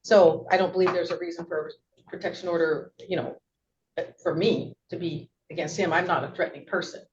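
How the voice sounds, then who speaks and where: A woman's voice: fairly low pitch, fairly even intonation, fairly quick. A woman giving unscripted testimony in court, explaining herself somewhat hesitantly. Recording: distant, noisy, muffled courtroom audio.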